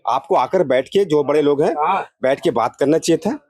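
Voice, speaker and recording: A man's voice: fairly high pitch, expressive, a little fast, darkish tone, no echo. A man from India speaking as a local resident in a street interview, making earnest, repetitive and emphatic appeals. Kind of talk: street interview, opinion statement.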